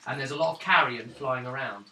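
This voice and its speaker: A male game master narrates at a table in halting phrases. His mid-pitched voice sits back from the mic in an echoey room, with clear background noise. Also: sharp tone. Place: indoors around a gaming table. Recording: roomy, distant mic, clearly noisy.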